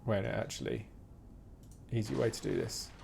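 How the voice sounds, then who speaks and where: A man's voice: lowish voice, moderate pace, vocal fry, a little mellow, slight background noise. A man with a British accent streaming a game, giving casual, chatty commentary and reacting aloud as he goes. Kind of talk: casual live gameplay commentary.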